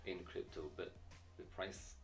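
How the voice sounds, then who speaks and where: A man's voice: low-pitched, steady tone, measured pace, creaky. A man talking as a guest in a casual chat, explaining his views confidently and with emphasis. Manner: confident, emphatic, some restarts. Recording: faint voice from far off the mic, light music.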